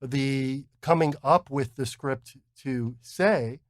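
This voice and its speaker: A man's voice: mid-range voice, takes it slowly, dry recording, clean studio sound. A man explaining technology in a casual chat, stammering, repeating words and checking in with "right?". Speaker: podcast co-host explaining technology.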